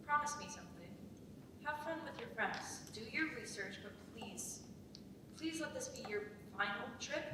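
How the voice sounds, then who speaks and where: A woman's voice: a little high, animated, moderate pace, lightly acted. A young woman playing a worried, pleading mother in a dramatic script reading. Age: young adult. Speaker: student actor. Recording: very echoey hall, distant mic, faint noise.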